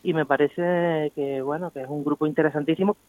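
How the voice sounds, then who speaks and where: A man's voice: a little high, steady tone, fairly quick, no room sound. A man with a slightly raised voice talks through a dull, lo-fi phone line. He is a guest on a radio interview, speaking reflectively and often ending his points with a question to his listener. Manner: thoughtful, with frequent tag questions. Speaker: guest graffiti artist.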